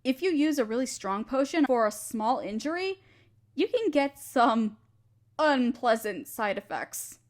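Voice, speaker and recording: A woman playing an encouraging alchemist teacher who is excited for her student, speaking gently and instructively to the listener in a fantasy audio roleplay. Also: fairly high pitch, measured pace. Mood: reassuring.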